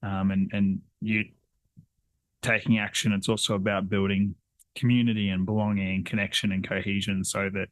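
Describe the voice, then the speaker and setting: A man's voice: lowish voice, flat delivery, moderate pace, no room sound. A young man from northern New South Wales, talking casually in an interview with many hesitations and restarts. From northern New South Wales, Australia. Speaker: environment council coordinator.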